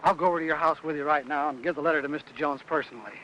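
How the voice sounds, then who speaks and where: A man's voice: a little high, racing, bright, forceful tone, highs cut off, slight background noise. A man acting a stubborn, rule-bound, talkative mail carrier in a film noir, grumbling in a folksy, long-winded way on a vintage soundtrack. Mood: conceding. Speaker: film actor.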